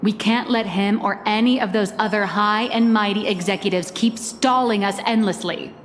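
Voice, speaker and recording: A woman's voice: mid-range voice, normal speed, in a large hall, a little hiss or hum, lightly acted. A female actor playing a cool, sardonic, commanding schemer in a video game, with a dry, teasing manner. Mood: dry, brisk.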